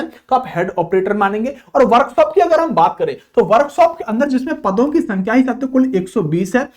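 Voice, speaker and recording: Lively-voiced male exam coach. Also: high voice. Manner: asks and answers his own questions, keeps addressing listeners as friends. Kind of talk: informal video lecture.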